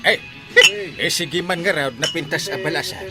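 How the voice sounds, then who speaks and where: An actor playing a jolly, flirtatious village captain in a radio comedy drama, stammering and giggling. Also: male voice, huge pitch swings, normal speed, creaky, over loud music, full character voice. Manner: giggly, stammering "eh", big leaps in pitch.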